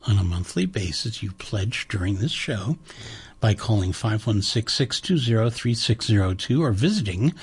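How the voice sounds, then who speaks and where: Husky, chatty male radio host. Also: fairly low pitch. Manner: rambling, chatty and enthusiastic. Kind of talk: casual on-air radio chat with a pledge-drive pitch. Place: radio studio.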